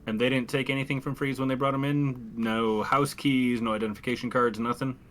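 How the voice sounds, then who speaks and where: A man's voice: medium pitch, steady tone, a little fast, fairly bright tone, a little hiss or hum. A man playing a tabletop roleplaying game, speaking in character and describing actions in a terse, dry way.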